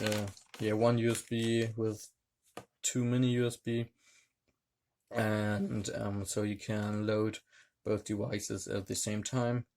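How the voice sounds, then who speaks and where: A man reviewing a gadget hands-on, talking in a hesitant, halting way with many fillers. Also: fairly low pitch, a little mellow, a little hiss or hum. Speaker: tech reviewer. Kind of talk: hands-on product demonstration.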